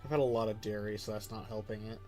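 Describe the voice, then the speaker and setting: A man's voice: on the low side, fairly even intonation, fast, darkish tone, faint music behind. A young man with a stuffed-up nose, chatting casually and rambling while he streams a game. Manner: chatty, rambling, filler-heavy. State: stuffed up, congested. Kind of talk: casual live-stream commentary.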